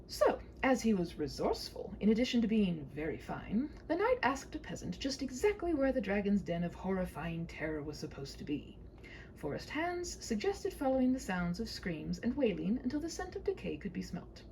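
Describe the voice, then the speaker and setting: A woman narrates a comic storybook tale with a lively, swooping delivery. Her tone is mellow with some vocal fry, and her phrasing is whimsical and mock-formal. There is faint noise in the home recording. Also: medium pitch, normal speed, rough recording. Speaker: storyteller reading own short story. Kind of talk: humorous scripted storybook narration with wry asides.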